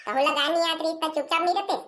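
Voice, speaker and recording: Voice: very high voice, fast talker, fairly bright tone. A performer voicing a cheeky young village boy in a comedy cartoon, squabbling and complaining in a Chhattisgarhi accent. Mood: grumbling. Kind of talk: comic cartoon dialogue. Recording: noisy track with clicks.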